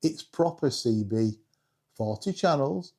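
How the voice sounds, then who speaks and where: Male vlog host, lively swooping intonation, soft mellow tone, chatty and self-mocking. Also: medium pitch, takes it slowly. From England. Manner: self-deprecating, jokey, addresses viewers directly. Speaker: hobbyist video creator and host. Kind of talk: casual chatty vlog presentation.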